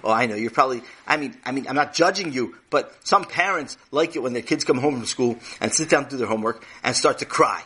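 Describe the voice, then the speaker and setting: A man's voice: medium pitch, quick pace, few pauses, bright, forceful tone, breathy edge. A man voicing an eager, chatty male teacher who rambles effusively and lectures. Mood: lecturing. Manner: effusive, rambling, talks over others.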